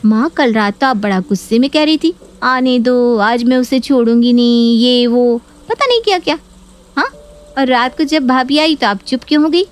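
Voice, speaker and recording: A woman's voice: high voice, normal speed, faint music behind, pitched above the performer's own voice, natural voice. A woman voicing a scheming young married daughter who accuses and complains. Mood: accusing. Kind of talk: Hindi audio story acting.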